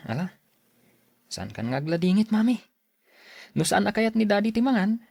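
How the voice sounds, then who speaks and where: Young Ilocano student son in radio serial: soft, expressive, affectionate, close dry sound. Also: male voice, sits high, a little slow, creaky, no room sound, heavily acted. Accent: Filipino Ilocano. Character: sulky but affectionate student son, devoted to mother. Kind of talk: radio serial drama acting.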